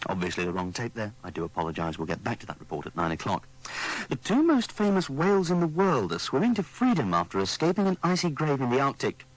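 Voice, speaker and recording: A man's voice: mid-range voice, sing-song, fast talker, low-quality recording. A man reading scripted radio news in a formal manner. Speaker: radio newsreader.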